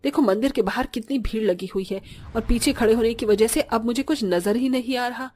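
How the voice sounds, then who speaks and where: A woman's voice: on the high side, fairly quick, darkish tone, lightly acted. A woman playing a middle-aged village mother-in-law in a moral story, plain-spoken and given to complaining. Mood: annoyed. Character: grumbling short village mother-in-law, slowly softening. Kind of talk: scripted Hindi moral story voice acting.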